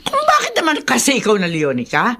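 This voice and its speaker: A woman's voice: fairly high pitch, huge pitch swings, fairly quick, sharp tone, heavily acted. A woman playing a nagging, possessive mother-in-law who scolds reproachfully in a Filipino radio drama.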